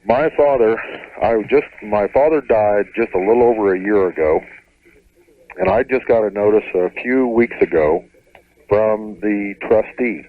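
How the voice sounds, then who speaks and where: A man calling a radio show, explaining his situation carefully in halting, measured phrases. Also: on the low side. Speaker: caller to radio advice show. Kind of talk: hesitant, careful explanation over the phone. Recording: narrow tinny phone line to radio.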